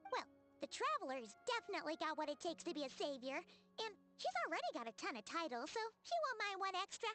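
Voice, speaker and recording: An extremely high, squeaky little fairy chatters with big swings in pitch. The childlike game companion is excitable and nosy, with music playing behind. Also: female voice, a little fast, full character voice. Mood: curious. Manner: exclamatory, refers to self in third person. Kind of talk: video game cartoon voice acting.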